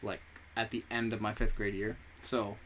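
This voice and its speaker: A man's voice: on the low side, little pitch movement, normal speed, a bit bright. Rambling young man with faint hiss. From United States. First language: Spanish. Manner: rambling storyteller, corrects himself often. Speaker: podcast co-host. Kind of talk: casual chat.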